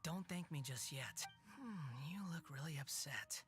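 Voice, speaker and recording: A man's voice: fairly high pitch, lively intonation, measured pace. A man voicing a proud, bitter young wanderer in a video game, speaking coolly and sardonically. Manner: cold, sardonic, quiet. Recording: game audio with soft music.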